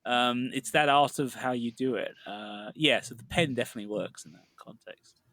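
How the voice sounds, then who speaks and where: A man's voice: mid-range voice, normal speed, a bit bright, no room sound. A British man co-hosting a podcast, talking in a rambling, casual way with many restarts. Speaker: podcast co-host. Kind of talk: casual film discussion.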